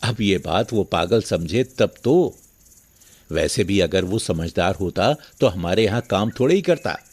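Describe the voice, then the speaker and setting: Man acting a gruff, scornful rich villager with husky low voice. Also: animated, a little slow, darkish tone, full character voice. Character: callous, greedy rich elder brother, a village farmer. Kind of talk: moral story cartoon dialogue.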